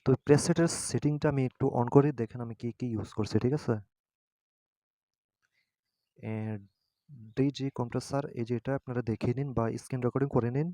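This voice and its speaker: A man's voice: medium pitch, mellow, no room sound. A man hosting an informal step-by-step app tutorial, speaking hesitantly and often checking that listeners follow. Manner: hesitant, halting, often seeking agreement. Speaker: tutorial host.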